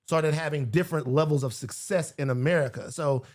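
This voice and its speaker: A man's voice: fairly high pitch, fairly quick, darkish tone. A man speaking as a guest in a casual political conversation, emphatic and building his points through repetition.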